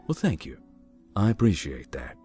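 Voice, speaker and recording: Big easygoing man, low-pitched, folksy, wry deadpan, expressive melody, visual novel game, music underneath. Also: moderate pace, slightly rough, full character voice. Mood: dry, polite. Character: giant, easygoing, wry man exploring a haunted hospital. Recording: music behind the voice.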